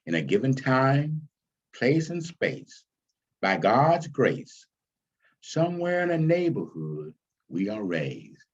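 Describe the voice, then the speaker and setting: A man reading his own stage script aloud as its author, with deliberate, dramatic phrasing. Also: medium pitch, sing-song, slightly rough, highs cut off.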